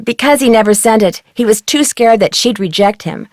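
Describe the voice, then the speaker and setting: Woman acting teenage girl detective, level-headed, friendly, matter-of-fact, slightly high voice, dry studio game dialogue. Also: lively intonation, normal speed, darkish tone, dry recording, natural voice. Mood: calm, reassuring.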